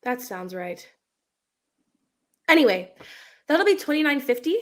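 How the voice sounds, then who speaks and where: Woman playing a lively, wondering young woman. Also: sits high, a little slow. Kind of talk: dramatic script reading.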